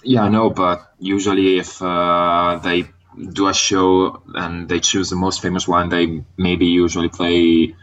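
A man whose first language is Italian, chatting casually as a guest fan on a podcast, pausing often with "uh" fillers. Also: sits low, little pitch movement, a bit bright, muffled recording. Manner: hesitant, many "uh" fillers.

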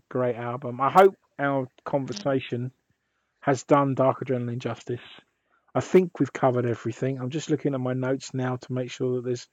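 Husky-voiced man hosting a podcast. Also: mid-range voice, dry recording, dull audio. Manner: rambling, with many restarts. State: hoarse from a sore throat. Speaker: podcast host. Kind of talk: casual podcast chat.

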